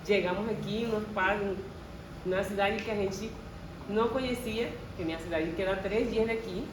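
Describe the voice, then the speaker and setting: A woman's voice: mid-range voice, moderate pace. A woman from Venezuela, a native Spanish speaker and adult learner, talking earnestly in a group conversation with a Venezuelan Spanish accent and Spanish words slipping in. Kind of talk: casual group talk. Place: school learning space. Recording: roomy and distant with background noise.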